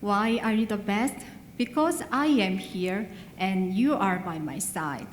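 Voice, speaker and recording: A woman's voice: medium pitch, slow pace, a little hiss or hum. A woman, an adult English learner whose first language is not English, giving an earnest graduation speech with small grammar slips. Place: large hall. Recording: echoey hall with long reverb.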